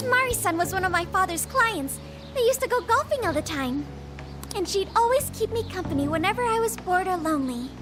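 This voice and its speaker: A gentle, well-mannered young heiress, with a very high and lively voice. She speaks softly and with great politeness. Game music plays under her lines. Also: brisk. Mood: polite. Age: young adult. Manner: soft-spoken and very polite. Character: polite, gentle young woman, a university student and company heir. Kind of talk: video game voice acting. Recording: game cutscene with music behind.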